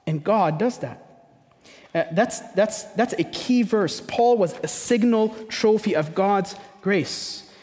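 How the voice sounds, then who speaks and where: A man's voice: high-pitched, slow pace, darkish tone. A man from Egypt preaching a sermon as a pastor, conversational, with emphatic repetition and rhetorical questions. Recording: long hall reverberation.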